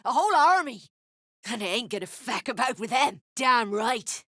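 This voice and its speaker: A woman's voice: on the high side, lively intonation, normal speed, fairly bright tone, dry recording. Female duergar sellsword, video game, greedy, coarse, blunt menace, piercing edge, very dry close recording. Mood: sour, mercenary. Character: tough, foul-mouthed, greedy female duergar mercenary. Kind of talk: video game character dialogue.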